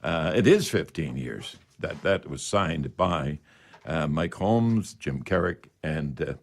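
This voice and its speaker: A man's voice: a bass voice, lively intonation, slow pace. A man hosting a casual live radio show, talking in a folksy, rambling way.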